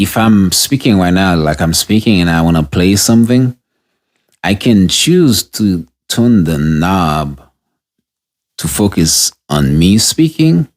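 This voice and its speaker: A man with a deep voice giving a casual, unscripted product review, talking through the gear as he tests it and restarting sentences as he goes. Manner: unscripted, halting, restarts mid-sentence while testing gear.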